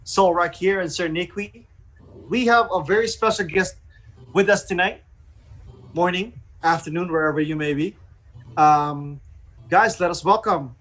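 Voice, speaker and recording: A man with a distinctly high-pitched voice hosts an online radio show. He talks casually, welcoming guests and putting questions to them as an interviewer. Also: a bit bright.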